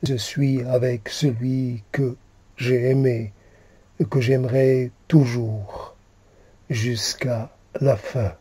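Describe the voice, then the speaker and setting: A man reciting poetry in a solemn, halting manner, repeating phrases as he goes. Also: mid-range voice, steady tone, dark tone, husky. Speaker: poet reciting own poem.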